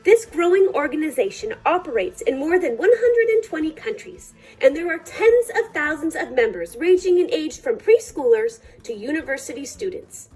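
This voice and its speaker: A woman reading a picture book aloud for children at storytime, with measured, deliberate phrasing. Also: high voice, animated, darkish tone, faint music behind, poor audio.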